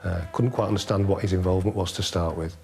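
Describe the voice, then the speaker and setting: A man's voice: sits low, steady tone, fast talker, gravelly, a little mellow, faint music behind. A man recalling police work in an unscripted interview, matter-of-fact and colloquial like a detective.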